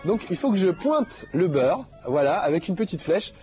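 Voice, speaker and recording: A man's voice: high voice, very animated, normal speed, darkish tone, dull audio, soft background music. A man hosting a game show, talking casually over gameplay with a slight nasal head cold and lots of fillers. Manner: chatty, jokey, many fillers.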